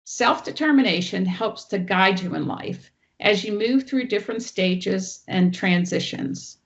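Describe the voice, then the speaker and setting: A woman presenter with a loud teacher voice, giving an online training talk and walking through each slide. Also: mid-range voice, measured pace, lo-fi sound. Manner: explains clearly, walks through each slide. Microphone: online meeting audio.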